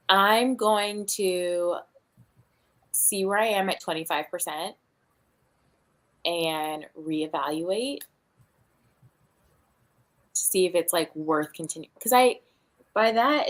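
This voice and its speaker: A woman's voice: on the low side, fairly bright tone. A woman chatting casually about books on a livestream, with a blunt, exasperated way of talking. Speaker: book reading livestream host.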